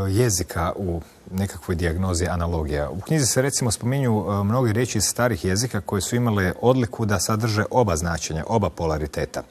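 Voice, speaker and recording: A man with a Croatian accent hosting an interview, putting long, formal questions in a deliberate way with hesitant pauses between phrases. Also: low-pitched. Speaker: interviewer, show host.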